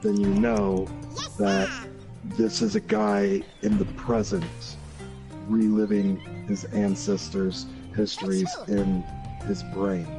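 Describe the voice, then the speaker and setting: A man's voice: on the low side, lively intonation, takes it slowly, gravelly. A man giving his opinions in a casual gaming discussion, explaining emphatically point by point. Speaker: gaming show panelist. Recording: music behind the voice.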